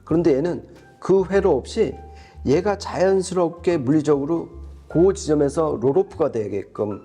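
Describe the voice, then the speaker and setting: A man's voice: on the high side, expressive, normal speed, warm tone, husky, soft background music. A man giving an explanatory product review as a co-host, going step by step and rephrasing as he goes.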